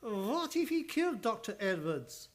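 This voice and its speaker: A man playing a fussy, shrewd elderly professor in a radio drama, speaking deliberate broken English with dropped articles. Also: sits high, very animated. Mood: firm, skeptical. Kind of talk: old-time radio drama acting.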